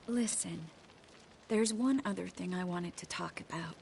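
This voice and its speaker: Creaky-voiced, guarded sorceress. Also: female voice, lowish voice, unhurried, darkish tone, slight background noise. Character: guarded, earnest young sorceress. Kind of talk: video game voice acting.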